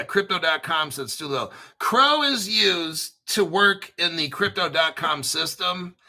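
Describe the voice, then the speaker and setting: A man's voice: fairly high pitch, bright, forceful tone. A man hosting a casual crypto show, talking bluntly and profanely, rambling with restarts. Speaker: crypto channel host. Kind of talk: casual livestream chat.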